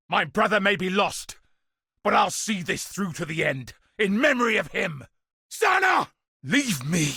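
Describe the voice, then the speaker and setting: An actor playing a gruff, dutiful veteran soldier and tracker in a video game, with a husky voice and a commanding, earnest, formal manner. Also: male voice, mid-range voice, bright, forceful tone, no echo, clean studio sound, full character voice. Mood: grieving, resolute, then desperate shouting. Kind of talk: scripted video game dialogue.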